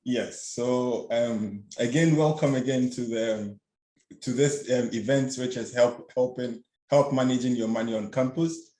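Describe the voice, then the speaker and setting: A man's voice: medium pitch, mellow. Young guy from ghana giving a webinar, kinda nervous, stammers and restarts a lot, echoey room. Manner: halting, stammers with restarts and fillers. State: a bit nervous. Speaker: student workshop facilitator. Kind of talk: webinar presentation. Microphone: video call. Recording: roomy echo.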